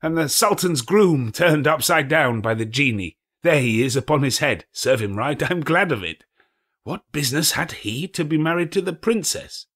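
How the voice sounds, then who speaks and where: A man voicing an old male miser, excitedly reliving childhood memories between laughing and crying. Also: on the high side, moderate pace, pitched above the performer's own voice.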